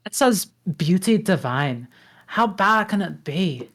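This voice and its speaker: A woman reading a gruff male ogre in love at a table read, low and gruff. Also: animated, slow pace, heavily acted. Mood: musing.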